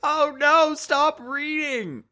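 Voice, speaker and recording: A man voicing an excitable, hot-headed teenage cartoon hedgehog in loud, strained exclamations. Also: extremely high pitch, extremely slow, pitched above the performer's own voice, heavily acted. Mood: outraged.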